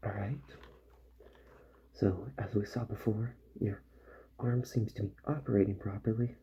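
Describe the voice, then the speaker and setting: A man doing a soft-spoken ASMR roleplay as a repair doctor, with gentle, slow, partly whispered delivery. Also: fairly low pitch, fairly even intonation, highs cut off, a little hiss or hum. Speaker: ASMR performer playing a robot repair doctor.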